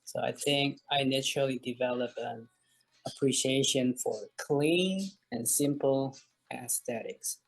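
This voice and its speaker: A man's voice: medium pitch, measured pace, low-quality recording. A man from South Korea with a Korean accent, answering questions as a guest in a casual interview.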